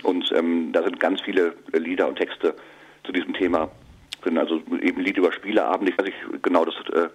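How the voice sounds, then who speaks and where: A comedian being interviewed, answering casually with many fillers and restarts. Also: male voice, low-pitched, little pitch movement, fairly quick. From northern Germany. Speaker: comedian and musician. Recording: radio broadcast.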